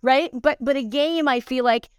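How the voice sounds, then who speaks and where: Middle-aged woman, smooth clear voice, casual interview guest, chatty, thinking aloud, close dry sound. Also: high-pitched, normal speed, no room sound.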